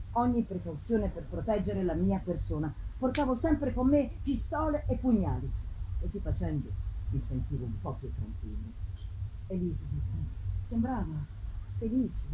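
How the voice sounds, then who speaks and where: A woman playing a troubled, loving man full of dread, telling his gothic tale in dramatic, halting, deliberate phrases. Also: medium pitch, natural voice. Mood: brooding. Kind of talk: theatrical first-person gothic reading. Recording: live performance with loud background noise.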